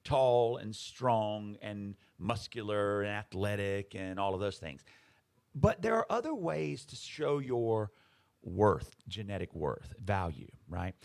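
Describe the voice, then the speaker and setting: A man's voice: lowish voice, creaky, darkish tone. A man co-hosting a podcast, explaining ideas in casual conversation, emphatic and fond of rhetorical questions. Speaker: podcast co-host.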